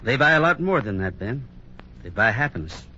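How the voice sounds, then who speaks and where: An actor playing a kindly, steady, sincere Western hero who also tells the story in a 1950s radio drama. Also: male voice, mid-range voice, brisk, husky, exaggerated voice. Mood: earnest. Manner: sincere, reassuring. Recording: muffled old radio recording, faint noise.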